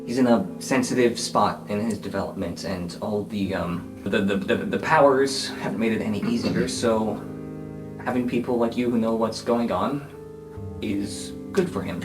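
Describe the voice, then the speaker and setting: A man's voice: lowish voice, normal speed, natural voice. A man voicing a kindly, awkward middle-aged guardian in a tabletop game, speaking hesitantly and earnestly. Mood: earnest, grateful. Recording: guitar music under the voice.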